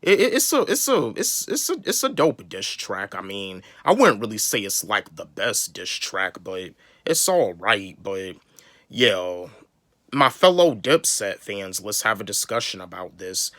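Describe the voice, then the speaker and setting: A man's voice: fairly low pitch, big pitch swings, measured pace, fairly bright tone, no echo, studio quality. A young man from the US South giving a casual, rambling opinion on a rap feud, often repeating words as he talks. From North Carolina, US South. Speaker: YouTube hip-hop commentator.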